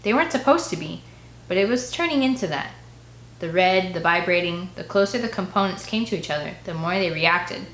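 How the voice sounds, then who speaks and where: A woman voicing a stern, authoritative dad in an audiobook, speaking in a measured, firm way. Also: on the low side, expressive, a bit bright, roomy sound, poor audio. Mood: explaining. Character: stern, authoritative father, government man.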